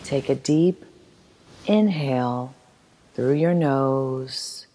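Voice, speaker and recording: A woman leading a guided meditation as instructor, reading in a soothing, measured manner with long pauses. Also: rumbling low, mellow, slight background noise. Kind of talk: guided meditation, scripted narration. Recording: long hall-like reverb.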